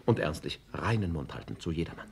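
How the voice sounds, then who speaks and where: An actor playing a vain, frivolous young prince in a German radio play, with an affected, halting drawl. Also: male voice, extremely low pitch, expressive, vocal fry, a little mellow, exaggerated voice. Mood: eager, secretive.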